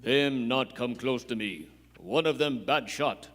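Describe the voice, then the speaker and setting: An actor playing a stoic, loyal tracker sidekick in a live Western radio play, speaking terse, broken English with grunted hums. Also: male voice, mid-range voice, lively intonation, unhurried, a bit bright. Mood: alert. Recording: echoey theatre hall.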